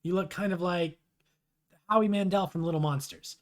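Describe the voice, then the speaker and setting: A man's voice: high-pitched, moderate pace, voice pushed up, close to an everyday voice. A man voicing a playful, casual teenage boy from a webcomic, sarcastic and rambling. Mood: casual, teasing.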